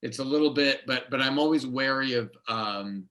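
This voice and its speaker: A man giving script notes in a measured, diplomatic, conversational way during a feedback discussion. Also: medium pitch, sharp tone.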